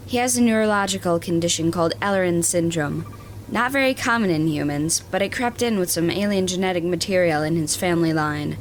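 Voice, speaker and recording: An actress plays a starship doctor with a fairly low female voice. She explains in a clinical, matter-of-fact manner, as in a science fiction audio drama, over faint ambient noise. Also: a little fast, close to an everyday voice, rough recording. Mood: grave, explanatory.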